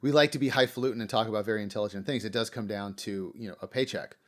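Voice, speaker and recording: A man's voice: fairly low pitch, rapid-fire, fairly bright tone. An American man hosting a casual interview, with informal phrasing that halts and restarts as he builds his questions. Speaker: podcast host, interviewer.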